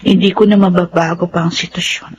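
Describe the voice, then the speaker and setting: A woman's voice: lowish voice, flat delivery, fairly quick, breathy edge, muffled recording. A woman acting a defiant, scheming young woman in a radio drama, with a Filipino accent. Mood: brooding, scheming.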